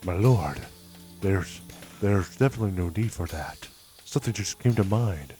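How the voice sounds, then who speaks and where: A man's voice: deep voice, lively intonation, normal speed, dark tone, soft background music. A man playing an obsequious, scheming underling in a dramatic fan dub, formal and deferential in manner. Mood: nervous, servile. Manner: servile, formal, smooth-talking.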